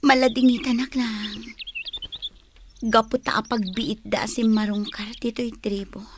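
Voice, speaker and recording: High, soft lovesick young daughter. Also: female voice, little pitch movement, measured pace, noisy background. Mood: sad, longing. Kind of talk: Ilocano radio drama acting. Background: music.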